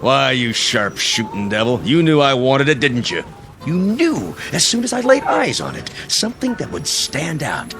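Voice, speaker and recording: A man's voice: medium pitch, very animated, moderate pace, music in the background. An actor playing a pompous, folksy and shrewd veteran politician, an older man, in an old-time radio drama. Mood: gleeful, accusing. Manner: pompous, wheedling, theatrical.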